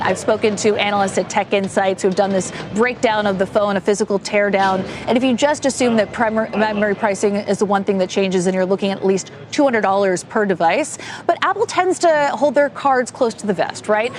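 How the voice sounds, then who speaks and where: A woman's voice: medium pitch, a little hiss or hum. An American woman giving a live broadcast business news report in a polished, articulate way. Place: on location at a company headquarters.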